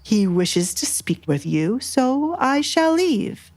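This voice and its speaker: Woman putting on a cowed, halting baron father. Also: high voice, very animated, darkish tone, voice pushed up, heavily acted. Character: Desmond, middle-aged male baron, cowed, anxious father.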